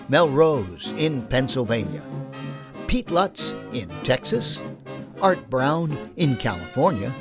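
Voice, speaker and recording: A man hosting, reading scripted credits and a sign-off. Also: a little high. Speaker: host announcer. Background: music.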